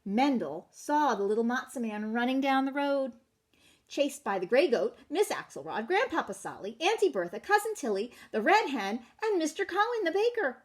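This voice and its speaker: A woman reading a children's picture book aloud in an animated way, stopping to ask listeners questions. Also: sits high, normal speed. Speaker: children's storytelling teacher.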